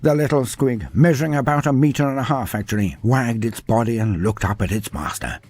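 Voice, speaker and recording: A man's voice: medium pitch, brisk, a little mellow, dry recording. A man narrating a humorous story in a dry, wry manner, breaking off into playful sidebars. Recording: faint music behind narration.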